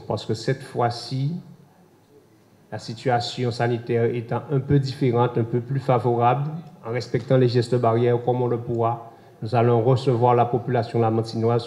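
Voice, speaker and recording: A hoarse man, the mayor, giving a measured formal closing speech to an assembly. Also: mid-range voice, mellow. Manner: measured, addressing an assembly with thanks and invitations. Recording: roomy echoing council chamber.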